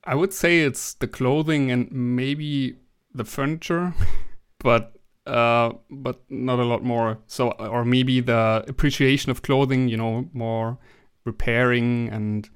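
A man's voice: medium pitch, steady tone, unhurried, clean tone. A young adult man from Germany with a German accent, a guest in a casual interview, talking hesitantly with many restarts and fillers.

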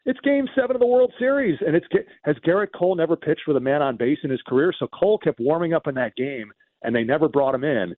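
A man's voice: a little high, expressive, fast talker, no room sound, narrow, tinny audio. A man talking off the cuff as a sports radio commentator, recounting games in emphatic detail and often repeating a phrase for stress. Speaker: radio baseball commentator and guest.

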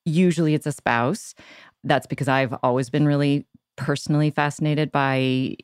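A woman's voice: deep voice, fairly even intonation, moderate pace, a little mellow, clear, resonant tone, no room sound, studio quality. A woman hosting and interviewing on a podcast in a conversational style.

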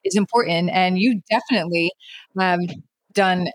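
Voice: mid-range voice, moderate pace, no echo, low-quality recording. Upbeat interview host, dry sound. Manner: enthusiastic, affirming. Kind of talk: casual interview.

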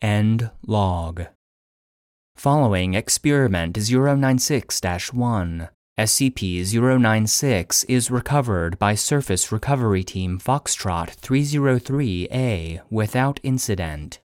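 A man playing an archive database that reads incident logs in an audio drama, formal, measured and impassive. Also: fairly low pitch, lively intonation, darkish tone, no room sound, studio quality. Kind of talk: scripted narration in an audio drama.

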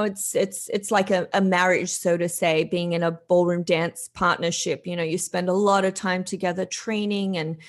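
A woman from Australia with a light Australian accent, telling her story as a podcast guest in a chatty, unhurried way. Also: on the low side. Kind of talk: casual podcast interview.

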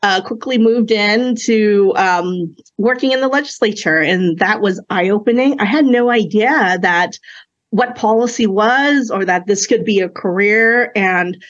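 A woman's voice: medium pitch, measured pace, dull audio. Woman with a Cantonese first language, thoughtful. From China. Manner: reflective, many fillers and restarts. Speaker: podcast guest. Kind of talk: conversational interview.

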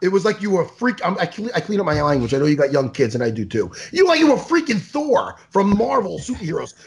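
A man in his forties jokes and teases his guest on a video call. His voice sits high and gravelly. It leaps wildly up and down as he gets worked up. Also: sing-song, quick pace. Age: 40s. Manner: loud, joking, teasing, swings up into shouts. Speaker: host. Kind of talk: casual video-call interview.

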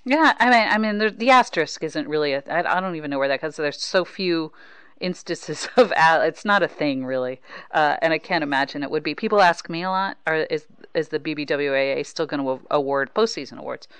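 A woman hosting a casual sports interview, chatting in a halting way with many false starts. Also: deep voice, lively intonation, clear, resonant tone, no echo. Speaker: podcast host, baseball writer.

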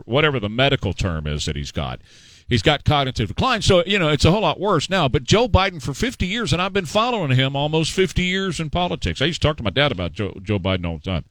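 A man's voice: medium pitch, fairly bright tone, no room sound. A middle-aged man hosting a talk show, giving opinionated political commentary in a sarcastic, mocking, emphatic way.

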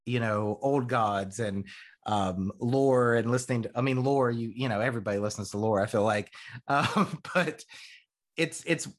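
A man's voice: medium pitch, moderate pace. Rambling man chatting on a podcast. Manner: rambling, many fillers and restarts. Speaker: podcast host. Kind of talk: casual chat.

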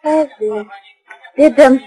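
A woman's voice: sits high, slow pace, dark tone, faint music behind, poor audio. A woman playing a curious, lightly teasing friend of a detective in a 1940s radio drama, on a vintage recording. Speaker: supporting actress.

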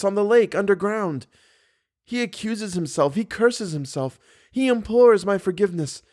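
A man's voice: sits high, voice pushed up. A man reading an audiobook, voicing a frightened, earnest and passionate young woman. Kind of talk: audiobook character dialogue.

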